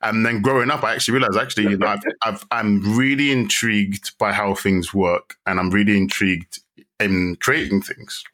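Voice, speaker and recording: A man's voice: lowish voice, fairly even intonation, normal speed, pushed and bright. A young man from London with a London accent, chatting casually as an interview guest, rambling through restarts and fillers. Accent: London English. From London, England. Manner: chatty, many restarts and fillers, self-deprecating. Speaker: guest, videographer.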